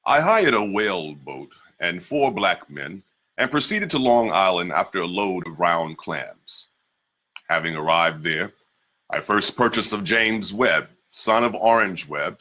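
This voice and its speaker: A man giving a measured, deliberate dramatic reading of an old first-person memoir. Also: sits low, bright, forceful tone. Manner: measured, deliberate delivery with slowed emphases. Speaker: reader.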